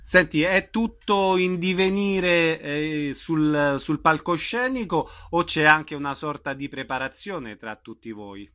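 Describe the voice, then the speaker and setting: A man's voice: a little high, takes it slowly, hardly any pauses, bright, forceful tone. A man working as a radio interviewer, putting questions in a conversational way.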